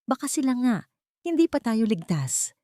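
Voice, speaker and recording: A woman voicing a calm, serious, protective male stranger with an earnest, reassuring manner in audiobook dialogue. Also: on the high side, normal speed, dry recording, clean studio sound, pitched above the performer's own voice. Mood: alert.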